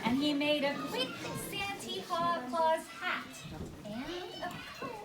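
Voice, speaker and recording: A woman's voice: very high voice, animated, takes it slowly. A woman narrating a rhyming satirical puppet show with a theatrical storybook delivery. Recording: echoey room, distant mic, loud music. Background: loud music.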